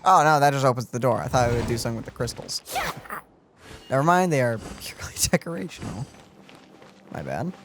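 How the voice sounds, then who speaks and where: Playful, animated male gamer. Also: medium pitch, brisk, pauses often, slight background noise. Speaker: let's play host and player. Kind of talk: casual gaming chat.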